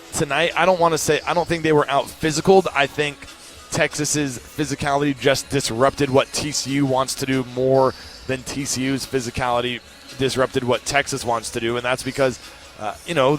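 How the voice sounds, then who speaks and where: A man working as a play-by-play commentator, breaking down a basketball game in a measured, analytical way. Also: medium pitch. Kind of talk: sports analysis talk.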